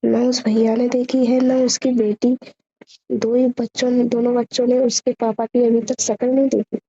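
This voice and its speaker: A woman's voice: fairly high pitch, flat delivery, moderate pace, dark tone. A young woman chatting casually and personally on a phone call, often repeating her phrases, in a lo-fi recording.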